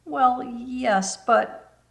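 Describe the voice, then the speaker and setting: A woman's voice: fairly high pitch, extremely slow, creaky, pitched above the performer's own voice, heavily acted. A woman voicing a shy, polite, self-doubting young girl in a children's storybook, hesitant and deferential. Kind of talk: children's storybook read-aloud.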